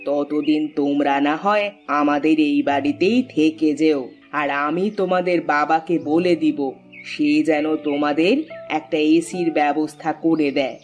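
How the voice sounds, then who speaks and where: A woman voicing a kindly middle-aged mother who speaks gently and reassures her daughter. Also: low voice, fairly even intonation, normal speed, faint music behind, natural voice. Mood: reassuring.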